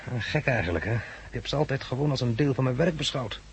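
A man's voice: mid-range voice, lively intonation, fast talker, slight background noise, natural voice. An actor playing a thoughtful, anxious male survivor, musing and hesitant, in a 1960s radio drama. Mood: bitter, reflective. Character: thoughtful male plant-grower survivor, anxious, searching for his woman. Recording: vintage 1969 radio play recording.

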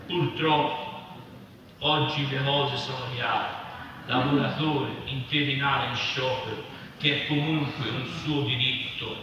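Male reader, slow literary delivery in short phrases, distant and very echoey live recording. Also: medium pitch, steady tone, dull audio. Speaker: reader at a public literary reading. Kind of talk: dramatic reading of literary prose poetry aloud. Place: live reading at a festival venue. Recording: echoey noisy hall, distant mic.

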